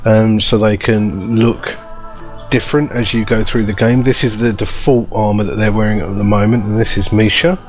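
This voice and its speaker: A man's voice: fairly low pitch, steady tone, moderate pace, darkish tone. A man hosting a casual showcase of game mods in a chatty, informal way over background music. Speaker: video host.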